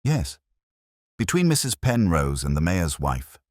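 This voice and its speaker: A man's voice: sits low, big pitch swings, measured pace, no room sound, studio quality, full character voice. A man voicing a prim, guarded English spinster in a mystery story, with a stiff, defensive manner. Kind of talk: audio mystery story dialogue.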